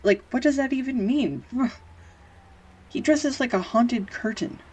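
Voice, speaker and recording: A woman playing a grumpy, sarcastic young art student who shyly confesses love in an intimate romantic audio roleplay, halting and wry. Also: fairly high pitch, animated, husky. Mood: indignant, wry. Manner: halting, wry, flustered, sighs and soft laughs. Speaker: voice actor.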